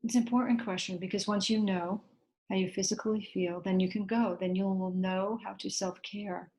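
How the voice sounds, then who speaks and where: A woman leading a guided meditation in a gentle, unhurried way, leaving long pauses between short instructions. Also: mid-range voice, fairly even intonation, poor audio.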